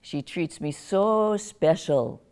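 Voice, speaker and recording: A woman's voice: low voice, animated, slow pace, a little mellow, a little hiss or hum, full character voice. Lady doing an old granny in a nursing home, teasing, chatty, voice goes up and down a lot. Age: older. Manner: gossipy, teasing, drifting into confusion. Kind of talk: one-woman stage monologue.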